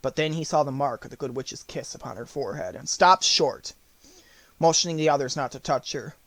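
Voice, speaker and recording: A man reading a children's novel aloud as an audiobook narrator, in measured, clearly phrased storytelling. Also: mid-range voice, a bit bright, no echo.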